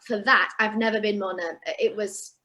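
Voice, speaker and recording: A woman's voice: medium pitch, fairly even intonation, normal speed, sharp tone. A young woman talking as a guest in a casual interview, chatty and often restarting her sentences. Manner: chatty, with frequent restarts and fillers. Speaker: guest singer and actress.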